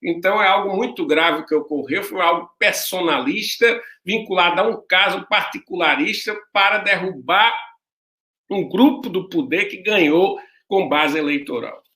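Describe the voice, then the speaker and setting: A man from Recife with a strong Pernambuco accent, explaining a legal theory in a measured, lecturing way over a video call. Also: sits high, sharp tone, reverberant. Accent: Pernambuco Portuguese, Recife. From Recife, Brazil. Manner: measured, lecturing, with hesitant restarts. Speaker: guest, legal scholar. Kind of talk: interview answer, explaining theory.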